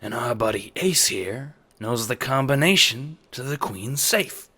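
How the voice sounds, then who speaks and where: A man voicing a smooth male mob schemer who speaks slowly and deliberately, making every word hang. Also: mid-range voice, expressive, bright, forceful tone, voice pushed up, heavily acted. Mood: scheming. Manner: slow, measured, hypnotic.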